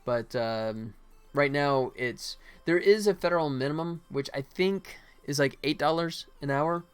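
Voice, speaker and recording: A man's voice: a little high, a little slow, clear, resonant tone. An American man hosting a casual livestream as he works, rambling and thinking aloud. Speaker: livestream host, game developer. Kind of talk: casual livestream chat while working. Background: music.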